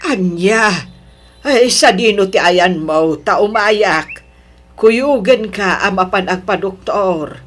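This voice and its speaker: A protective older mother in an audio drama, played by a woman. She speaks slowly in a medium-pitched voice, concerned and gently urging. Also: expressive. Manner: solicitous, urging. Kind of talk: Ilocano audio drama acting.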